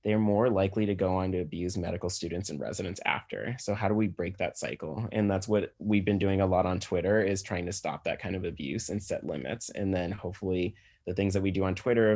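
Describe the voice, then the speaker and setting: Male physician presenter, American, low register, conversational explanatory lecture. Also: fairly quick, few pauses, a little mellow.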